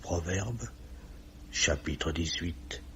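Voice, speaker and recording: An actor playing a pious, solemn old office chief who forever quotes scripture, in 1950s radio drama style. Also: male voice, low-pitched, drawn-out words, bright, forceful tone, heavily acted. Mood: solemn, paternal. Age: older. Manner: sententious, solemn, preachy. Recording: dull, noisy old radio recording.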